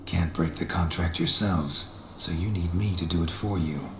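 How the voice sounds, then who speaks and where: An actor playing a gruff, stoic monster hunter in a video game, speaking in terse, flat, gravelly lines. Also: male voice, deep voice, normal speed. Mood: grave, resolute. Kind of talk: video game dramatic acting. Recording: roomy echo, noisy game ambience.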